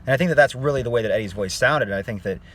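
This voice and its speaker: A man's voice: mid-range voice, rapid-fire. A man teaching singing in a casual online lesson, talking to viewers directly and checking in with "okay?" as he goes. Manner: conversational, addresses viewers as "guys", frequent "okay?" check-ins.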